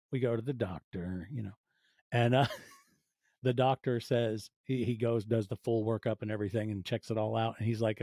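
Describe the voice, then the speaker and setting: Mellow-voiced man telling stories casually. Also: medium pitch, dry recording, studio quality. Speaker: podcast guest, audio fiction producer. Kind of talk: casual interview storytelling.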